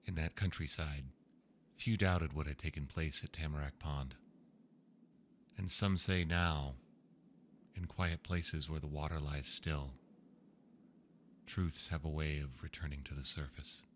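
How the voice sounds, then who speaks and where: A man reading old ghost stories aloud in a slow, hushed storytelling manner. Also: very deep voice, fairly even intonation, a little hiss or hum. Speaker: narrator. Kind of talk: scripted ghost story narration.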